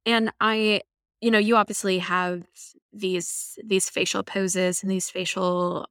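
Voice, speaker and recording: A woman interviewer asks follow-up questions with curious encouragement. Her voice sits at a middle pitch. The sound is dry and close. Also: level tone, slow pace, fairly bright tone, clean tone, no room sound.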